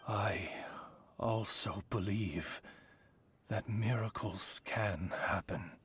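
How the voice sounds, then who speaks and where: A man's voice: lowish voice, very slow, fairly bright tone, slightly rough, exaggerated voice. A man voicing a grave, devoted talking raven familiar in a video game, formal and deferential. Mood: courtly, earnest. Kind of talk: video game cutscene acting. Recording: music behind the voice.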